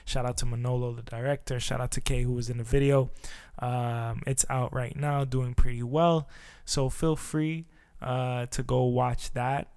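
A man hosting his own podcast in a relaxed, casual chat, with lots of fillers and loose phrasing. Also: mid-range voice, measured pace, clear, resonant tone, no room sound, studio quality. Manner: laid-back, filler-heavy, says "touch base" a lot. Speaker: podcast host.

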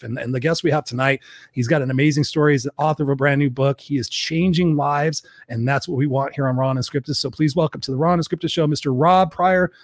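A man hosting a live podcast, hoarse from a cold, talking in an energetic pep-talk way. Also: mid-range voice, no echo, studio quality. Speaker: podcast host.

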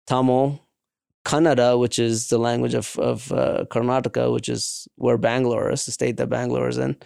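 A man from India, raised with South Indian languages, tells his story in a conversational way. His voice is mid-pitched and fairly even, with clear fry at the edges, recorded dry and close. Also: normal speed, no echo. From India, later New York. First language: Malayalam and other South Indian languages. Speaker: guest.

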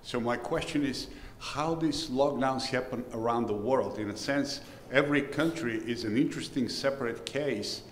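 A man's voice: medium pitch, normal speed, hardly any pauses, slight background noise. A man from Bulgaria, an audience member, asking a long and rambling question from the floor, searching for words as he goes. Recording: roomy hall with long reverb, faint ambience.

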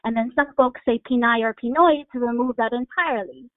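Measured woman on a tinny dry line. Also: on the high side, no echo. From Hawaii. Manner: thoughtful, measured explanations. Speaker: guest. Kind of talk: reflective interview answer. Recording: phone-like dry sound.

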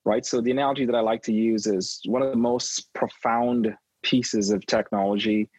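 A man's voice: medium pitch, steady tone, moderate pace, a little mellow, slightly rough, dry recording, poor audio. A man raised in Trinidad and Tobago, a guest in an interview, talking in a reflective way with many ums and repeated words. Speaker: guest, education innovation consultant. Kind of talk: conversational interview.

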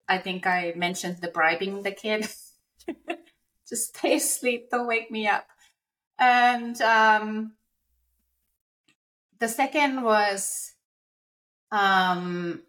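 A middle-aged woman with a medium-pitched voice speaks as a podcast co-host. English is her after a Nordic mother tongue. She shares personal experience openly, in a conversational and confiding manner. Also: a bit bright. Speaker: podcast co-host telling her own story.

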